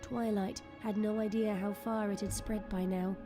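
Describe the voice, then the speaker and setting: A woman's voice: medium pitch, level tone, normal speed. A woman reading scripted, dramatic story narration as the narrator of an audio drama. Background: soft music.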